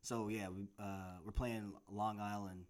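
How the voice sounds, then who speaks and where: A man's voice: on the low side, little pitch movement, measured pace, crowd noise. A young man from Tennessee co-hosting a casual podcast, rambling and laid-back, laughing often. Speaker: podcast co-host. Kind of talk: casual chat. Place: apartment in the Bronx. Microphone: handheld recorder mics.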